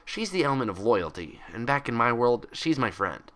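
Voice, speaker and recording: A man's voice: medium pitch, sing-song, moderate pace, sharp tone, voice pushed up. A man voicing an earnest, easily exasperated young female cartoon pony with an urgent, dry, defensive way of talking.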